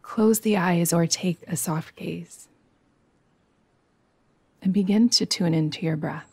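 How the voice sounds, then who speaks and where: A woman teaching yoga nidra, guiding a meditation in a soothing, gentle way with long, unhurried pauses. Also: fairly low pitch, gravelly, husky, no room sound. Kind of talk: guided meditation.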